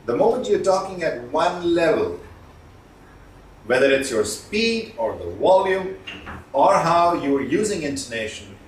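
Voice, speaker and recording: A man's voice: fairly high pitch, big pitch swings, a little slow. Man training, echoey distant recording. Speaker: communication skills trainer. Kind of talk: instructional talk on voice modulation. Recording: echoey room, distant mic, faint noise.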